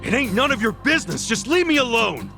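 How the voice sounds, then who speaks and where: Brash, hot-headed ex-con, swinging pitch. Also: male voice, sing-song, a little fast, creaky, exaggerated voice. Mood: irritated. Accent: American. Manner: brash, defensive, slangy. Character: hot-headed, gruff yakuza ex-convict man. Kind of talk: English video game dub acting. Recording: music behind the dialogue.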